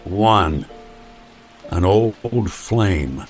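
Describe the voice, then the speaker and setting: An actor playing a weary, wry, hard-boiled synthetic detective with a noir delivery in an old-time radio drama. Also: male voice, low voice, animated, very slow, over music, full character voice. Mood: grave.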